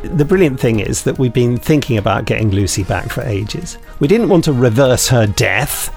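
A man's voice: mid-range voice, expressive, moderate pace, vocal fry, a little mellow, faint music behind. A man co-hosting a podcast, chatting and reading out reviews in a jokey, rambling, digressive way. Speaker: podcast co-host.

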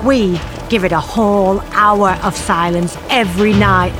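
A woman's voice: mid-range voice, steady tone, a little slow, music in the background, close to an everyday voice. An actress playing a bereaved middle-aged mother in an audio drama, confiding her story in a plain-spoken, wry and bitter way. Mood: defiant, angry. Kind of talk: audio drama narration, intimate monologue.

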